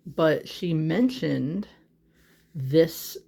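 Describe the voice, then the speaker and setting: Rambling vlogger with vocal fry. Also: mid-range voice, drawn-out words, warm tone. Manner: rambling, full of "like" and "um" fillers. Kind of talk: casual chat. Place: home office. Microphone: phone held in hand.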